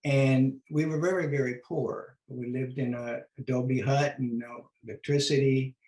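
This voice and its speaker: Gentle older man in an echoey room. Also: medium pitch, fairly even intonation, a little slow. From Mexico. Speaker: author and guest. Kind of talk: memoir storytelling. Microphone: video call.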